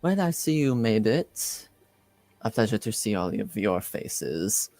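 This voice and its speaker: A man's voice: lowish voice, very animated, a little mellow, no echo. A man voicing a poised, well-informed middle-aged noblewoman in a tabletop game, speaking formally with cool condescension. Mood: courteous, composed. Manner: formal, measured, coolly condescending.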